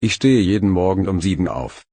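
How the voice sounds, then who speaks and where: Husky, low-voiced man reading phrases. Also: no room sound. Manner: slow, even, careful phrase-by-phrase reading. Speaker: phrase reader.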